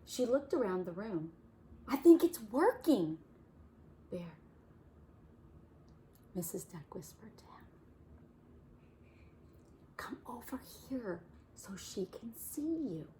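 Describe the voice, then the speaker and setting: A woman reading a picture book aloud to children, gently asking questions about the pictures. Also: medium pitch, very animated, takes it slowly, pauses often, slight background noise. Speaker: children's story reader. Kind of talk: storytime read-aloud for kids.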